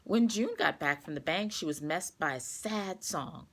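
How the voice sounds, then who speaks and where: A woman reading a children's storybook aloud, expressive and carefully paced for young listeners. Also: fairly low pitch, a little slow.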